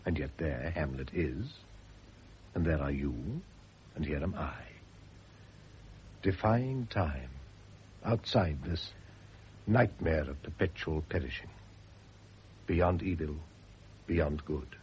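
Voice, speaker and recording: An actor playing a brooding, philosophical, self-absorbed husband in a vintage radio drama, speaking in a literary, declamatory way. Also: male voice, extremely low pitch, lively intonation, slow, pauses often, darkish tone, heavily acted. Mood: ardent, musing. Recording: dull, hissy vintage radio recording.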